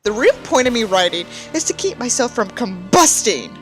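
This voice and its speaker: A whiny, self-important writer who despises humanity as a woman acts him out, declaimed in a high voice. The delivery is pompous and melodramatic, a mocking dramatic book reading with faint music. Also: very animated, moderate pace. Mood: gloomy, self-pitying.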